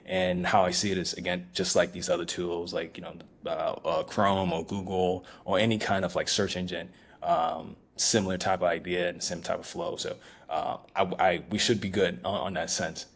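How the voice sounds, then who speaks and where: A man from Ghana, a startup founder, talking as a guest in a casual interview, halting and restarting often with many fillers. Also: low-pitched, level tone, slightly rough.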